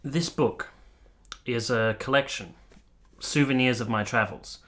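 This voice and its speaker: A courteous, cultured captain from a period radio drama, played by a male actor. He speaks in formal, literary phrases at a medium pitch. His temper is wistful and reflective. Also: unhurried, a bit bright, natural voice. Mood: polite, tentative. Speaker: actor and narrator in a radio play. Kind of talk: dramatic radio play acting.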